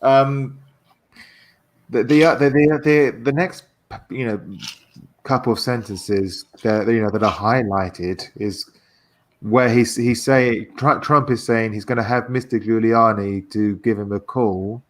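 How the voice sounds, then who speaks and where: A man casually discussing politics in a halting way, repeating words as he searches for phrasing. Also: mid-range voice. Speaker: guest discussing a political transcript.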